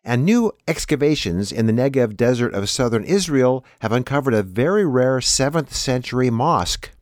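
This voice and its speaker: Male news host, lively pitch swings. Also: moderate pace, no room sound, studio quality. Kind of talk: scripted news introduction and appeal.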